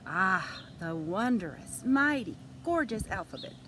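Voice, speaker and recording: A woman's voice: big pitch swings, slow pace, a bit bright. A woman voicing a cheery, grandly enthusiastic little bird teacher in a theatrical read-aloud of a children's storybook. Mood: delighted, grand. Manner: theatrical, exclaiming, chirpy. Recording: noisy room with background rumble.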